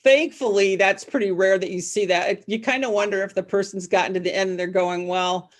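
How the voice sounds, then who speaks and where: A middle-aged woman chatting casually as an interview guest, telling things in an animated way and often circling back over her words. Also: mid-range voice, brisk. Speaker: podcast guest, novelist and former psychologist.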